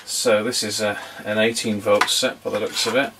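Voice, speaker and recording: Middle aged guy, gravelly voice, mumbling along casually while he tinkers, noisy room with clicks. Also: fairly low pitch, little pitch movement, moderate pace. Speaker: vintage radio hobbyist presenter. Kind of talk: casual hands-on demonstration commentary. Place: small indoor electronics workbench.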